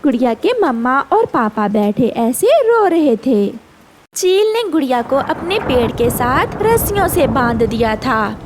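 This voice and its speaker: A woman's voice: high voice, big pitch swings, unhurried, slight background noise. A woman reading scripted narration for a children's cartoon story.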